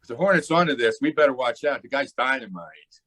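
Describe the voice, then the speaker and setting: An actor playing a cocky racketeer boss in an old-time radio drama, brash and slangy in delivery. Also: male voice, mid-range voice, lively intonation, brisk, sharp tone. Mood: alarmed, scheming.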